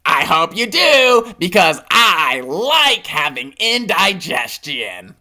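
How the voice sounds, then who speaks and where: Man doing a slow hungry lake monster, gloating, storybook. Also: high voice, very animated, bright, forceful tone, pitched above the performer's own voice, full character voice.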